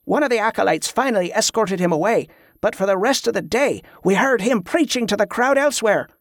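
A narrator putting on an indignant, high-strung zealot man. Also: lively intonation, fairly quick, dry recording, studio quality, close to an everyday voice. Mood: spiteful. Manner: insistent, indignant. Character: frustrated, accusing zealot man, a fervent believer.

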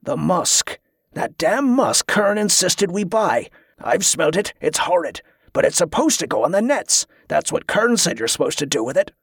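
A man's voice: high-pitched, very animated, normal speed, fairly bright tone, breathy edge, no room sound, studio quality, heavily acted. Man voicing a furious, imperious growling nobleman. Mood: furious, incredulous. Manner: growling, demanding, impatient. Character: furious, imperious nobleman giving curt orders.

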